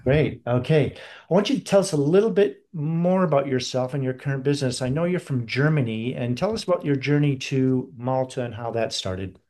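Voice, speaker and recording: A man's voice: mid-range voice, expressive, fairly quick, mellow. A man hosting a business podcast, reading a guest introduction and asking long, rambling questions in several parts. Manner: rambling multi-part questions. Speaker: podcast host.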